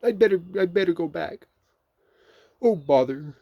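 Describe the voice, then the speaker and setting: A man's voice: fairly high pitch, very animated, normal speed, no echo, dull audio, voice pushed up. A man voicing a bumbling, good-natured, greedy toy bear from a children's storybook, hesitant and given to exclaiming. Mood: distressed, cross. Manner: hesitant, repeating himself, exclaiming.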